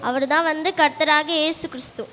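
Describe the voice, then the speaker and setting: High-voiced woman playing a schoolteacher, echoey hall. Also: fairly even intonation, fairly quick, bright, forceful tone. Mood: gentle, earnest. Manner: exasperated questioning, chiding. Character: scolding, teasing schoolteacher, later gently consoling. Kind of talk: amateur church skit acting. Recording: echoey hall, distant, noisy room sound.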